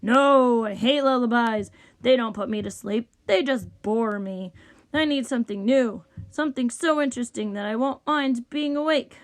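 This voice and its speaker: A woman's voice: fairly high pitch, moderate pace, close to an everyday voice. A woman voicing a bossy, picky, impatient rich man from a storybook, exclaiming and complaining. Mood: impatient, dismissive. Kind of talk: storybook character voice.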